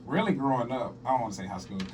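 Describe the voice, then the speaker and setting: A man's voice: mid-range voice, animated, racing, darkish tone, recorded from a distance, slight background noise. A young man from southern Arkansas, a comedian and musician, chatting casually as an interview guest and telling stories with a laugh. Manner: laid-back, laughing, storytelling.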